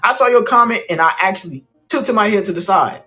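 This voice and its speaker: A man from the Mississippi Delta speaks in a measured, articulate way, asking pointed questions in a clip from social media. His voice sits a little high, with faint music playing underneath. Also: lively intonation, pushed and bright.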